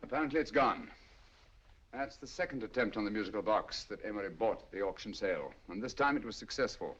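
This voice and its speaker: A commanding, middle-aged master detective who speaks fast and with crisp diction. His pitch rises and falls with lively energy. The sound is dull and lo-fi, like an old 1940s film.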